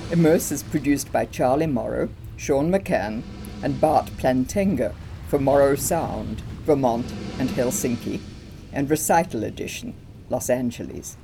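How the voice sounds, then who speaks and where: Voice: medium pitch, animated, unhurried, noisy background. An announcer reading a scripted podcast outro.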